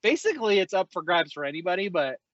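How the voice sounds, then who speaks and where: A man's voice: sits high, very animated, fast, fairly bright tone, highs cut off. A man hosting a casual interview, chatting in an enthusiastic, effusive way. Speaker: podcast host, company executive.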